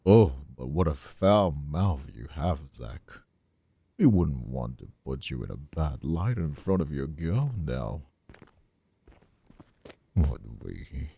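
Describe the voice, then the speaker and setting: A man playing a menacing, smug mobster brother in an audio roleplay, gravelly and husky, with mock-polite, drawn-out taunts. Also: rumbling low, sing-song, warm tone, no room sound, full character voice. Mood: taunting. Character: menacing, taunting mobster brother, escaped convict and crew leader. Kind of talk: scripted audio roleplay acting, ASMR.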